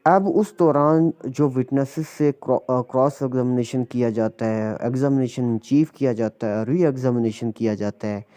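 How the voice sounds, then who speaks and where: A man with a Pakistani accent giving an explanatory law lecture, slowing down when he reads out legal text. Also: mid-range voice, dark tone. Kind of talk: explanatory lecture mixing Urdu and English.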